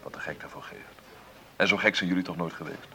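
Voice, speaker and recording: An actor in a radio play as a wealthy, bitter middle-aged businessman, gravelly, sardonic and condescending in a deliberate way. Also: deep voice, fairly even intonation, pushed and bright, slight background noise, heavily acted. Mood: bitter, scornful. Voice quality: gravelly, creaky.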